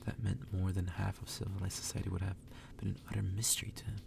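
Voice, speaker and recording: Man, hushed ASMR story reader, low flat pitch, breathy, unhurried, distant noisy recording. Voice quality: breathy, whispery. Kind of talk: ASMR whispered reading of a story. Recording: distant mic with clear background noise.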